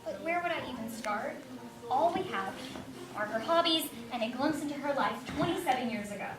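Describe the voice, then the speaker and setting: A woman's voice: high voice, lively intonation, a little fast, over music. A woman acting an earnest adopted woman in her twenties searching for her birth mother in an amateur stage play. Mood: anxious, bewildered. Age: 20s. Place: stage of a one-act play. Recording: echoey stage, distant mic, noisy.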